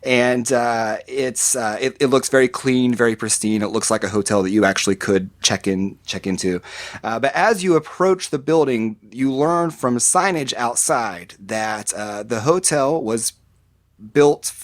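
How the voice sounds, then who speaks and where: A man's voice: mid-range voice, unhurried, no echo. A man, a podcast guest, describing a theme park ride in a rambling casual way with many fillers and restarts.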